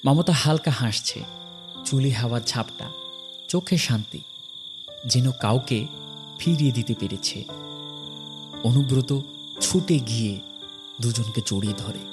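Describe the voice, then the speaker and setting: A man narrating a horror story from a script, dramatically, in short phrases with pauses. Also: medium pitch, lively intonation, music in the background.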